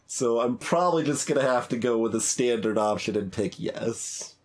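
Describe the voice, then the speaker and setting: A man's voice: medium pitch, lively intonation, normal speed. A man giving casual video game commentary as a streamer, hesitant and thinking aloud as he plays. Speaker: gaming streamer.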